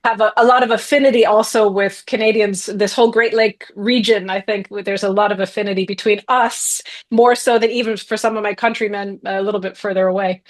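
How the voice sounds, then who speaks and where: A woman's voice: mid-range voice, steady tone. Articulate American Midwestern woman. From Columbus, Ohio, USA. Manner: articulate, thoughtful, quick to laugh. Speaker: guest executive coach. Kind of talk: conversational podcast interview.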